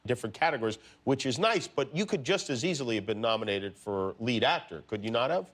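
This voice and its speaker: A man's voice: medium pitch, lively intonation, fast talker, fairly bright tone. A man with a New York accent hosting a talk show, asking questions with teasing jokes. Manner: joking, teasing interviewer. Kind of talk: casual interview.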